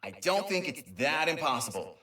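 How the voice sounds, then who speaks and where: A man's voice: on the high side, sing-song, moderate pace, pushed and bright, echoey room, distant mic. A man voicing an eager, nerdy, kind creature inventor who rambles and stammers through an informal video game audio log. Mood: wry, determined. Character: eager, nerdy, kind male Lombax inventor and dimension mapper.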